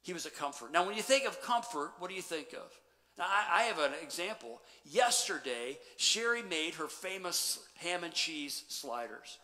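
A middle-aged man preaches from the stage of a church sanctuary, his voice bright and a little high. He moves between animated storytelling and quiet, intimate emphasis. The long church reverb trails after each phrase. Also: normal speed. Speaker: pastor. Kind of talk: sermon.

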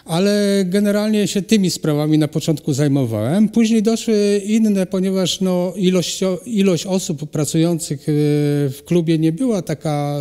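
A middle-aged man, a ceramic artist, answering interview questions in a halting way with many pauses and restarts. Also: sits high, mellow, smooth. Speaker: ceramic artist and cultural animator, guest. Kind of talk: hesitant conversational interview answers. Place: hall at a cultural centre. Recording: long reverb of a hall.

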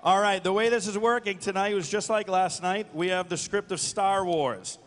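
A man hosting a live convention panel, announcing in a projected, energetic way from the stage. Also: sits high, moderate pace. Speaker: panel host. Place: large convention hall stage. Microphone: handheld microphone. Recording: reverberant hall sound system.

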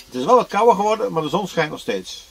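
A man's voice: sits high, lively intonation, quick pace, gravelly, slightly rough, poor audio. A man in his sixties chatting casually to a handheld camera about his camper trip, easygoing, with plenty of fillers and restarts. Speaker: camper vlogger. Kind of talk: casual travel vlog to camera. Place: inside a camper van, then outdoors by a river. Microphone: camera at arm's length.

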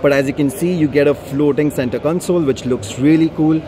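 Guy showing off a car at an expo, bit high voice, lots of noise around. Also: fairly quick. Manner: casual explaining with many fillers. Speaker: car show presenter. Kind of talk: walkaround car video at an expo. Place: large indoor auto expo hall. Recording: noisy expo hall.

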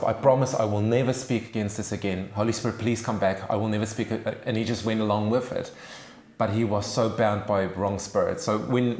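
A man's voice: lowish voice, a little mellow. A man whose first language is Afrikaans, telling stories earnestly and emphatically in a seated discussion. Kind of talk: conversational discussion. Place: small room with sofas, indoors. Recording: roomy indoor sound.

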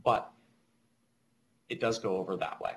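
A middle-aged man presenting technical material over slides in a halting, explanatory way, speaking from a small room on a webcam call. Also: low voice, vocal fry, fairly bright tone, a little hiss or hum.

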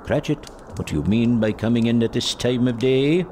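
An actor playing an elderly reformed miser, giddy, generous and exuberant, in a theatrical audio drama. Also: male voice, medium pitch, normal speed, noisy background, close to an everyday voice. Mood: mock stern. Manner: exuberant, chuckling, mock-stern at times.

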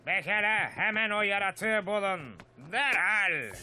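A man's voice: high voice, measured pace, bright, forceful tone, soft background music, full character voice. A man playing a bombastic, gloating colonel villain in a Turkish-dubbed cartoon, pompous and commanding. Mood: barking orders.

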